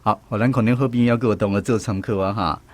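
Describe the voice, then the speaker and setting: A man's voice: fairly low pitch, steady tone, quick pace, no echo. A man with a Taiwanese accent presenting a language segment as a radio host. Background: music bed.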